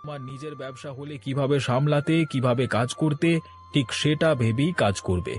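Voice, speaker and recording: A man's voice: medium pitch, a little fast, soft background music, lightly acted. A man voicing a kind, honest shopkeeper and father in a moral-story cartoon, speaking in a welcoming, earnest way. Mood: earnest, instructive.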